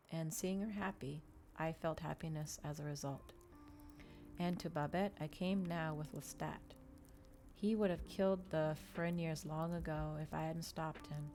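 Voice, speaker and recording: A woman reading a novel aloud in a soft, measured ASMR storytelling style, stumbling now and then. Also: deep voice.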